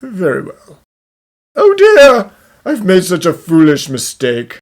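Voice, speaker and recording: A man's voice: high-pitched, huge pitch swings, takes it slowly, darkish tone. A man voicing an accusing detective in a fan dub, theatrical and dramatic.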